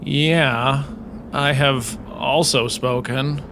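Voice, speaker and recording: A man's voice: mid-range voice, steady tone, drawn-out words, a bit bright, slight background noise. A man playing a glib, theatrical university lecturer who gives a scripted comic talk with wry, chatty asides. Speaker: host.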